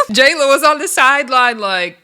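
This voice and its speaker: Woman, recap host: casual, outspoken commentary, bright edge to the voice. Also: high-pitched, expressive, moderate pace, clean tone. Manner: blunt, candid, swears and laughs often.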